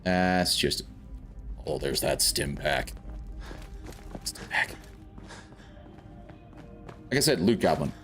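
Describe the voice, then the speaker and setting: A man's voice: extremely low pitch, very animated, takes it slowly, long pauses, fairly bright tone. A man from South Africa streaming a game, chatting casually and thinking aloud as he plays. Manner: chatty, rambling, thinks aloud while playing. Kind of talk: casual gameplay commentary. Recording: faint game music behind.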